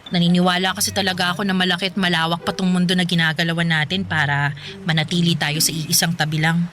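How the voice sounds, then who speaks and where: A woman's voice: lowish voice, flat delivery, fast, a bit bright, soft background music, close to an everyday voice. A young woman with a Filipino accent playing a candid, ambitious, playful girlfriend in a conversational radio drama. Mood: earnest, firm. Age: young adult.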